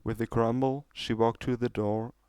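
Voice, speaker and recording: A man's voice: medium pitch, little pitch movement, normal speed, a little mellow, clean tone, no echo. A man reading a scripted story aloud as its narrator. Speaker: story reader.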